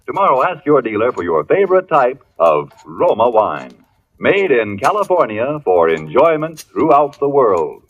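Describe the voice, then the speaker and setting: American male announcer, 1940s radio ad, polished, expressive pitch, muffled recording. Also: normal speed. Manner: polished, persuasive. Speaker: commercial announcer. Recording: dull old radio recording.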